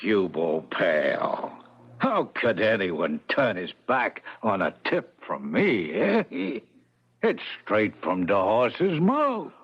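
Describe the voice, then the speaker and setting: An actor playing a streetwise talking horse in an old-time radio comedy, with slangy, cocky tipster patter. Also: male voice, fairly low pitch, big pitch swings, slow, lo-fi sound, a little hiss or hum, exaggerated voice. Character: streetwise, wisecracking male talking horse who tips races.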